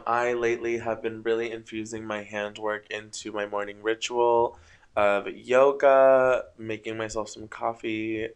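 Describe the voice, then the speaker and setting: A young man with a somewhat low voice hosts a casual solo podcast late at night. Spanish is his first language, and he rambles through spiritual musings in a tired way. He trips over his words from time to time. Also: clear, resonant tone. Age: young adult. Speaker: podcast host.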